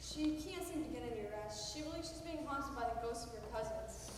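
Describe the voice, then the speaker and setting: An actress playing a calm, reasoning doctor who soothes a troubled patient. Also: female voice, fairly high pitch, brisk, noisy background, close to an everyday voice. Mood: explaining. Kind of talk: stage play acting. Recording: echoey hall from far off.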